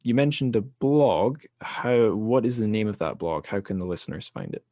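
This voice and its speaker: A man hosting a podcast interview, relaxed and wry, whose sentences often trail off quietly at the end. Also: on the low side, normal speed, no room sound, clean studio sound. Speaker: podcast host. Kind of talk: conversational interview.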